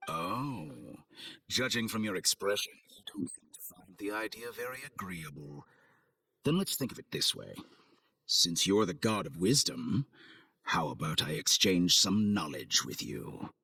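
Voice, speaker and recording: An actor playing an arrogant villain scientist in a game, with a measured, condescending, theatrical delivery. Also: male voice, deep voice, expressive, sharp tone, slightly rough, exaggerated voice. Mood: sly, persuasive. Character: suave, arrogant male villain scientist, coldly amused schemer. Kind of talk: video game cutscene acting. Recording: studio voice over game music.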